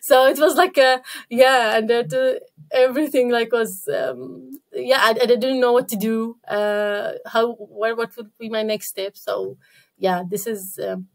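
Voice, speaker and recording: A woman from Iraq, a podcast guest, talking casually and haltingly with many fillers and restarts. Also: a little high, fairly even intonation, creaky. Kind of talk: casual interview talk.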